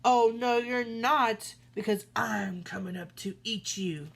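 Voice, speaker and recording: A woman voicing a big, mean, hungry male troll in a storybook reading, speaking slowly with threats. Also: fairly low pitch. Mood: gloating.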